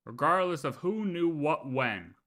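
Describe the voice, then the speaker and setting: A man's voice: fairly high pitch, animated, a little slow, fairly bright tone. A man livestreaming a game, giving casual reaction commentary as he goes.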